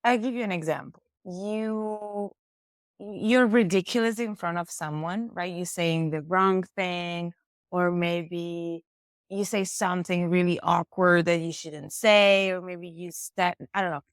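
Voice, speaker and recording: A woman with an Italian accent, a language teacher and native Italian speaker, chatting expressively as a podcast guest and explaining with lively examples. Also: fairly low pitch, unhurried, clear, resonant tone, no room sound, studio quality. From Italy. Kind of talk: casual podcast conversation.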